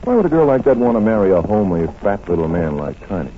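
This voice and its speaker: Low, lively doctor detective. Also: male voice, fast. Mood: shrewd. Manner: hesitant, probing, polite. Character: genial, teasing doctor detective, shrewd and casual. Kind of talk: 1940s radio acting. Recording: tinny, noisy vintage radio recording.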